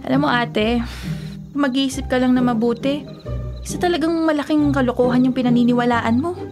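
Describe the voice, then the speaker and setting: An actress playing an exasperated, teasing younger sister in a Filipino radio drama, scoffing at old superstitions in a sassy, conversational way. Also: female voice, high voice, fairly even intonation, fairly quick, music in the background, lightly acted. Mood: fed up.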